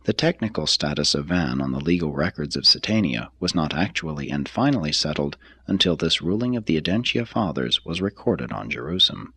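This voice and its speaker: A man reading a religious text as scripted narration, in a measured, solemn and formal manner. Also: rumbling low, expressive, sharp tone, slightly rough, no echo. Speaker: reader.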